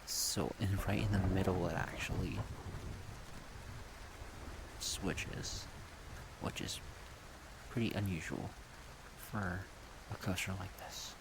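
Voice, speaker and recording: A man with a low voice talks in a soft-spoken ASMR ramble. He goes slowly and haltingly, thinking aloud in short phrases. Steady rain-like noise runs clearly behind him. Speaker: ASMR video creator.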